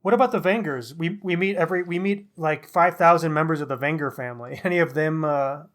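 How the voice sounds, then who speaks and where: A man's voice: a little high, fairly quick, fairly bright tone. A middle-aged man co-hosting a book podcast, giving opinionated, analytical takes in a casual, wordy way.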